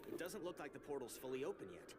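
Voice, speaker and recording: An actor voicing a brainy, analytical teenage turtle. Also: male voice, on the high side, expressive, very noisy, natural voice. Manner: quick, analytical.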